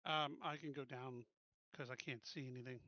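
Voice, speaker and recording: A man's voice: mid-range voice, fairly even intonation, normal speed, sharp tone, dry recording, dull audio. A man talking casually as a player in a tabletop roleplaying game. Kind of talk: casual chat.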